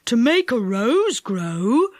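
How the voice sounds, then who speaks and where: A woman voicing a cheerful, hard-of-hearing old man in a storybook, speaking slowly and a bit muddled. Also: on the high side, very animated, no room sound, studio quality, heavily acted. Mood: pleased. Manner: slow, pleased and a bit muddled. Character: deaf, cheerful old man who mishears.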